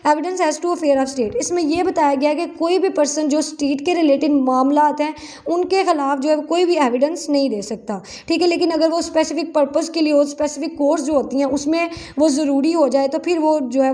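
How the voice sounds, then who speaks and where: High-pitched Pakistani woman lecturing. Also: moderate pace, mellow. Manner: instructional, frequently rephrasing to clarify. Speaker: law lecturer, advocate. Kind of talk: explanatory lecture, reading articles aloud and explaining them.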